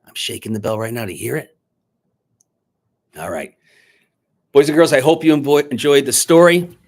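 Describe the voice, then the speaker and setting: A man reading a picture book aloud dramatically to children, pausing to coax them with questions like a teacher. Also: mid-range voice, lively intonation, a little mellow. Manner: dramatic, teacherly, coaxing young listeners with questions.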